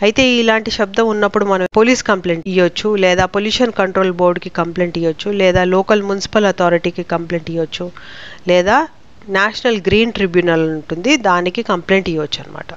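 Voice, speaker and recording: A woman's voice: fairly low pitch, fairly even intonation, fast, smooth, highs cut off. A woman with a Telugu accent giving an informal explanatory lesson as a law teacher, explaining terms step by step with frequent tag questions. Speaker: law teacher presenting short legal explainers.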